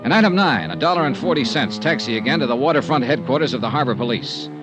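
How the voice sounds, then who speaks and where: An American man playing a dry, persistent insurance investigator in vintage radio noir style, with measured, matter-of-fact delivery. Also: mid-range voice, very animated, music in the background, natural voice. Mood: brisk narration. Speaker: lead actor and narrator.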